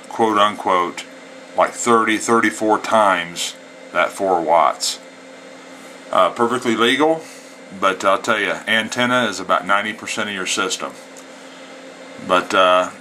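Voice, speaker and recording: A man's voice: on the low side, bright, forceful tone, rough recording. A man operating a CB radio, speaking in a folksy way with CB slang, between radio calls and casual explanation. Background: CB radio static and chatter.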